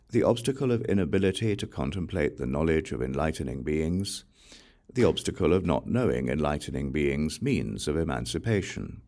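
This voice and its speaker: A man reading Buddhist scripture as an audiobook narrator, in a measured, solemn recitation. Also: rumbling low, darkish tone. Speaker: audiobook reader.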